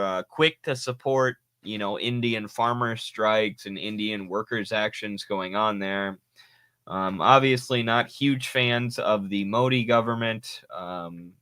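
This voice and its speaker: A man with a low, punchy voice hosts a political livestream. He speaks emphatically and with strong opinions, close to a mic in a dry, dead-sounding space. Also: pushed and bright, dry recording. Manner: emphatic, opinionated, reads donations aloud. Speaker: show host. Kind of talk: casual political livestream talk.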